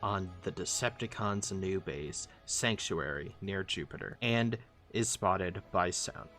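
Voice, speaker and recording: A man's voice: sits low, slow, fairly bright tone, soft background music. A man giving scripted narration as the narrator of a video essay. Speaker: narrator of a comic analysis video.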